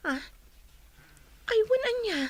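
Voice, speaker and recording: A woman's voice: extremely high pitch, very animated, slow, no room sound, heavily acted. An actress with an Ilocano accent playing an anxious young wife waiting for news of her husband in a radio drama.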